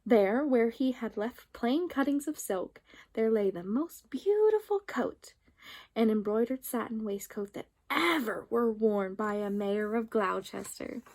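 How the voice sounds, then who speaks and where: A woman's voice: fairly high pitch, a little slow, darkish tone. A woman reading a children's storybook aloud expressively, drawing out some phrases.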